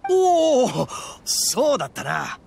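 Brash, wildly animated young oni boss. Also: male voice, extremely high pitch, slow, a little hiss or hum, heavily acted. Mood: sheepish, friendly. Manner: cocky, rough street talk, blustering. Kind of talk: anime game voice acting.

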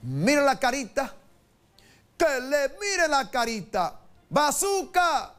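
A man hosting a news show, introducing a report with an emphatic, drawn-out delivery. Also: extremely high pitch, big pitch swings, fairly bright tone. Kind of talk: presenting a news segment. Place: virtual news studio, indoors.

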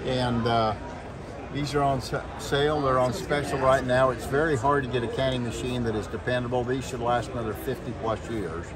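A man's voice: mid-range voice, fairly even intonation, a little fast, a loud crowd. A man in his sixties giving a plain product demonstration as an exhibitor. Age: 60s. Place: large indoor expo hall booth. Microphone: handheld camera at arm's length or further. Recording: very noisy trade show floor.

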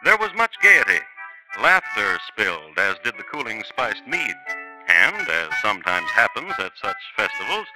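A man's voice: lowish voice, sing-song, normal speed, low-quality recording. A man narrating a 1950s radio crime drama in a dry, ironic storytelling manner. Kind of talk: wry scripted radio narration. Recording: vintage radio broadcast with music.

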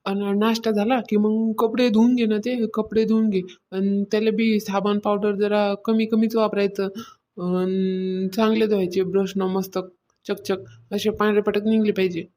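A woman's voice: medium pitch, level tone, normal speed, dark tone, lightly acted. A woman voicing a bossy, stingy, self-important mistress of the house in a cartoon comedy, nagging in colloquial Varhadi Marathi. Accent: Varhadi Marathi, rural Maharashtra.

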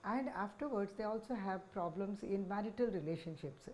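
A woman in her sixties with an Indian accent, speaking as a senior psychiatrist in a measured, explanatory talk to camera. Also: medium pitch, darkish tone, slight background noise. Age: 60s. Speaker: senior child psychiatrist, head of department. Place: small office, indoors. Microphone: lapel microphone.

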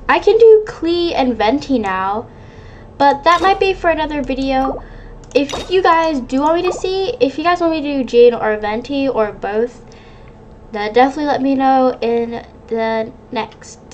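A woman's voice: high voice, slight background noise. A woman giving casual, gushing commentary as she plays a video game. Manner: cutesy, gushing reactions. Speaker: gaming video creator. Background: game music.

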